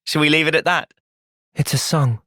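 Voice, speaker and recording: A young man playing an earnest, thoughtful young soldier hero in a fantasy video game's cutscenes, with expressive delivery. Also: on the high side, normal speed, dry recording, studio quality. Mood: calm, thoughtful. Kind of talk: video game cutscene acting.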